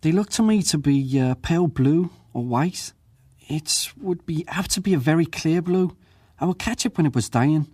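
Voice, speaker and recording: A man acting as a working ship's crewman giving testimony at an inquiry, plain-spoken, measured and deferential, in a dramatized reading. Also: a little high, animated, darkish tone, slightly rough, dry recording, studio quality. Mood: deferential, careful. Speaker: witness.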